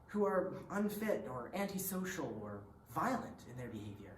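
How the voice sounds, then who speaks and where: Actress as serious stage advocate, fairly low female voice, formal recitation, distant and echoey. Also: sing-song, moderate pace, slight background noise. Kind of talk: readers theatre recitation.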